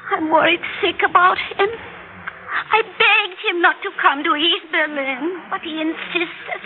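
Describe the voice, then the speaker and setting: A muffled old radio recording with faint hiss carries a young, trusting German woman in a Cold War drama. Her high voice is anxious, earnest and pleading. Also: measured pace. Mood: worried, pleading. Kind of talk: 1960s radio drama acting.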